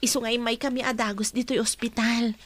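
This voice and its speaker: A concerned, confiding female friend voiced by an actress in a radio drama. Her voice is husky and fairly high, recorded close and dry. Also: level tone, brisk, no echo, natural voice.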